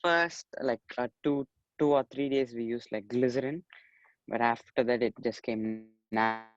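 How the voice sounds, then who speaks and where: A man's voice: medium pitch, fairly even intonation, moderate pace, no echo, dull audio. A young man from Chennai in his teens, polite and earnest, often saying "you know" while telling his story in an interview. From Chennai, India. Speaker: young actor and musician, interview guest. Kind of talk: casual interview.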